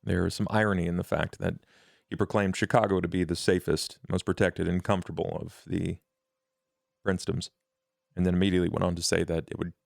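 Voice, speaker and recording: A man playing a dry, fussy undead vampire bureaucrat in tabletop roleplay, speaking formally and haltingly with a gravelly, creaky voice. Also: low voice, dry recording. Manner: measured, halting, deadpan, formal wording.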